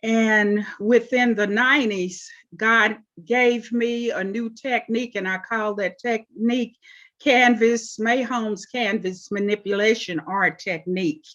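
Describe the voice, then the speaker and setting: A woman in her seventies, originally from Oklahoma, answering interview questions about her life in an unhurried way with frequent pauses. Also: on the high side, steady tone, fairly bright tone, lo-fi sound. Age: 70s. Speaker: interview guest, artist.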